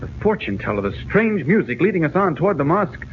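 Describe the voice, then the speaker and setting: An actor playing an earnest, insistent reporter investigating a mystery, urgent and persuasive, in a 1940s radio serial. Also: male voice, a little high, very animated, fast talker. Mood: urgent, deducing. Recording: scratchy, narrow-band 1940s radio recording.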